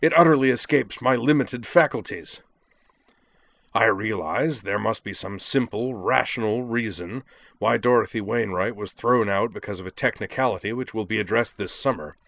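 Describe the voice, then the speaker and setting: Indignant young alien student for a story reading, performed by a man: loud, raised pitch, pompous, formal, declamatory. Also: creaky, no room sound.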